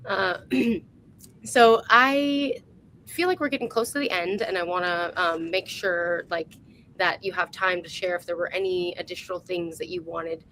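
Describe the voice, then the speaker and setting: A woman's voice: on the low side, fairly even intonation, a little slow, sharp tone, a little hiss or hum, low-quality recording. A woman hosting a casual interview over a video call, informal and enthusiastic in the way she talks. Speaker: interview host.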